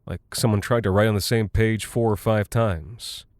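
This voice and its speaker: An actor voicing a preoccupied American aircrew buddy in an audio drama, blunt and colloquial, with a hesitant, muttering delivery. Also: male voice, on the low side, steady tone, moderate pace, a little mellow, no room sound, studio quality, lightly acted. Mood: puzzled, absorbed. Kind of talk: quoted dialogue in audio drama.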